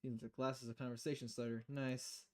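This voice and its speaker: Woman playing a polite young bartender for a visual novel: reserved delivery, dropped lower in pitch. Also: flat delivery, fairly quick. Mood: polite, subdued. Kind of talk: acted reading of game dialogue.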